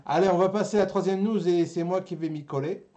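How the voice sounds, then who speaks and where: A man's voice: sits high, fast, warm tone, lo-fi sound. A man presenting a show in a casual, bantering way. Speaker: show host presenting news.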